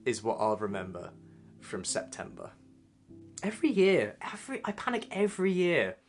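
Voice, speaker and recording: A man's voice: on the high side, wildly swooping pitch, moderate pace. A man doing a reflective voice-over for his own vlog, looking back wistfully. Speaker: vlog narrator. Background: guitar music.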